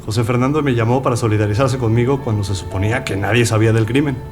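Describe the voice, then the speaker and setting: A man's voice: mid-range voice, quick pace, over music, natural voice. An actor playing a male witness giving somber testimony in an audio docudrama. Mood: matter-of-fact, somber. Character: man testifying about his friend and murdered brother.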